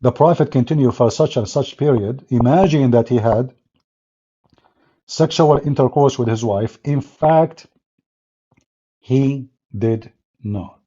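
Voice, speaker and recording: Husky-voiced man hosting a livestream. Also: medium pitch, unhurried, pauses often, warm tone. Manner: mocking, sarcastic, non-native English phrasing. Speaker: livestream host. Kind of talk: livestream talk with callers. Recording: dull lo-fi stream audio.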